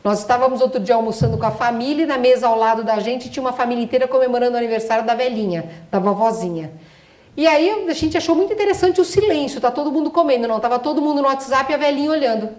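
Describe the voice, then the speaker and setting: A woman's voice: fairly high pitch, racing, darkish tone. A middle-aged woman giving a spiritual lecture as a doctor, talking emphatically and asking the audience rhetorical questions. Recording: echoey hall.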